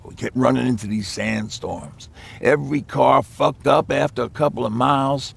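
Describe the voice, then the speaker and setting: An American man playing a weary survivor of nuclear war in a game, recounting it in plain, halting, profane words. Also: mid-range voice, vocal fry, breathy edge. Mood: weary, somber. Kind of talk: naturalistic game acting, recounting past events.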